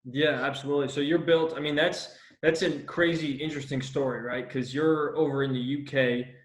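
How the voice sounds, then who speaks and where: A man's voice: fairly high pitch, fairly even intonation, normal speed, echoey room. A man hosting a casual podcast interview, enthusiastic and slangy, calling his guest "dude" and "bro". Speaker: podcast host.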